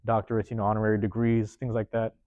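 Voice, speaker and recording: A man hosting a podcast from a university room, giving a loose, slangy motivational monologue with lots of repetition, his voice getting a bit hoarse. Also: on the low side, monotone, fast, darkish tone, no echo, highs cut off. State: voice going hoarse. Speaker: podcast host.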